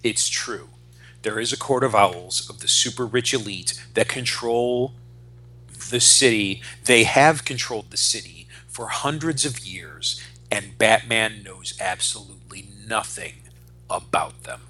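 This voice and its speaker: A man's voice: fairly low pitch, unhurried, pushed and bright, slightly rough, low-quality recording. A man in his forties co-hosting a podcast, chatting casually and enthusiastically about comic books, swearing as he explains things. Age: 40s. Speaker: podcast co-host.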